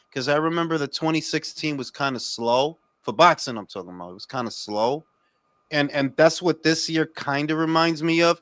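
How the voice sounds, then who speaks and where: A man's voice: a little high, a bit bright, clean tone, no room sound. A Dominican man hosting a casual boxing chat, speaking in profane, emphatic slang and repeating himself for emphasis. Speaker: boxing talk show host.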